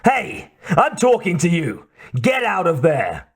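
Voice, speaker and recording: An irate male motorist performed by a male audiobook reader, shouting demands with his voice pushed up high. He is confrontational and loud in his anger. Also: huge pitch swings, slow, breathy edge, exaggerated voice. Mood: angry. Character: angry, demanding male car driver yelling. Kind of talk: audiobook character voice.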